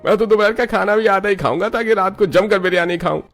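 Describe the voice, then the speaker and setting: A man voicing men in a cartoon story, with lively, expressive delivery. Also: sits high, quick pace, soft background music. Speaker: voice actor voicing several men. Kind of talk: cartoon dialogue.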